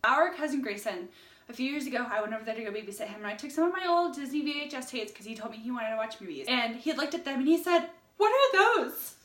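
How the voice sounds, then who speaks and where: Young woman chatting casually as a cohost, pretty high pitched, expressive, echoey room. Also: fast talker. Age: young adult. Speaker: co-host of a book review channel. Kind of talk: casual chat, personal anecdotes. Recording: roomy indoor echo.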